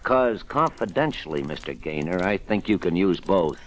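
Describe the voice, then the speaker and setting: An actor with an American accent playing a gruff, wisecracking police sergeant in the style of an old radio detective drama. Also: male voice, low voice, lively intonation, moderate pace. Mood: sardonic. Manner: wry, slangy, wisecracking. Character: gruff, sardonic police sergeant, wry and needling.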